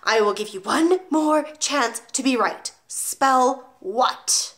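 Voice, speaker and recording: A woman's voice: mid-range voice, lively intonation, normal speed, sharp tone. Cruel storybook headmistress, acted by a woman, barking, contemptuous, domineering, swooping intonation. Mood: scathing. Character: huge, domineering, cruel headmistress, sneering and furious. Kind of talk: children's storybook read aloud.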